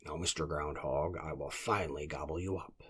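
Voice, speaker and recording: A man voicing a scheming, hungry wolf, the mild villain of a children's storybook, speaking deliberately. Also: a bass voice, steady tone, a bit bright, slightly rough, heavily acted. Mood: gloating, firm.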